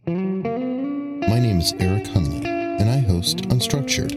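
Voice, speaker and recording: A man's voice: mid-range voice, wildly swooping pitch, takes it slowly. A man who hosts an interview podcast, reading a scripted trailer in a playful, wry manner. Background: loud guitar music.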